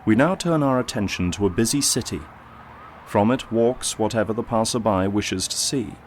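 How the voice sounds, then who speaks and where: A man's voice: fairly low pitch, moderate pace, a little hiss or hum, close to an everyday voice. A man narrating a scripted audio drama as a solemn storyteller.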